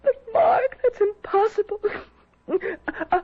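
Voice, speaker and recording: A woman's voice: very high-pitched, animated, slow, heavily acted. An actress playing a distraught, pleading young woman in a radio drama, with a dramatic, exclamatory delivery. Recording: dull vintage radio recording.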